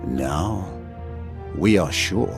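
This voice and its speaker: An actor voicing a grave, imposing warrior in a video game, speaking in a solemn, deliberate, portentous way. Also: male voice, deep voice, lively intonation, vocal fry, music in the background, full character voice. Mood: grave, ominous. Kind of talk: dramatic video game voice acting.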